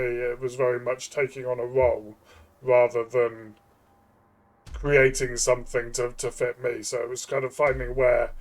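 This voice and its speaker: Guy with a medium pitched voice, casual review chat, kinda halting and thoughtful. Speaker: co-host of a tabletop game review show.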